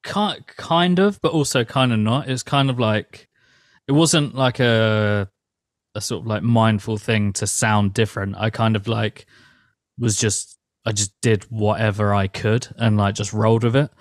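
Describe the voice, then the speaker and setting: A man's voice: on the low side, fairly even intonation, a little slow, no room sound, clean studio sound. A young man, a metal band's vocalist, talking casually as a guest and filling his speech with "like". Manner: rambling, heavy use of "like", laughs easily. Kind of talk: casual interview chat.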